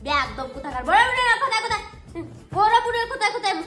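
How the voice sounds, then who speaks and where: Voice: extremely high pitch, big pitch swings, rapid-fire, sharp tone, echoey room, heavily acted. A performer voicing a bossy, scornful young girl in a children's cartoon, scolding and threatening in a haughty way over music. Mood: angry scolding. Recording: music behind the voice.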